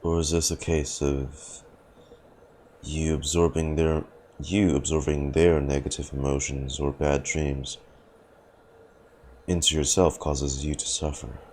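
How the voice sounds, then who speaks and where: A man's voice: very deep voice, level tone, a little slow, long pauses, darkish tone, slight background noise. A man streaming a game, reacting casually and musing aloud to himself, with faint game music behind him. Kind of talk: casual live playthrough reaction.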